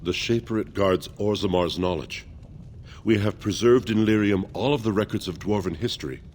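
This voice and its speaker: An actor playing a dignified older male dwarf archivist in a fantasy video game, speaking gravely and formally. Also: lowish voice, normal speed, darkish tone, slight background noise, lightly acted. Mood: solemn. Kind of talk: video game character acting.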